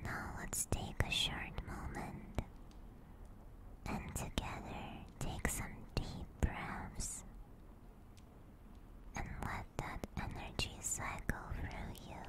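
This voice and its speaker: A woman hosting an ASMR livestream, speaking softly and soothingly in a gentle, deliberate manner close to a binaural microphone. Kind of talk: ASMR, soft whispered personal attention. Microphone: binaural ASMR microphone at close range.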